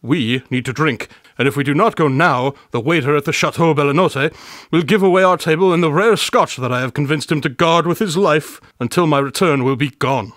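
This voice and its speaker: A man playing an impatient, pompous gentleman detective in a radio drama, speaking in formal, wordy phrases. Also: on the high side, brisk, no room sound, studio quality, lightly acted. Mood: urgent, haughty.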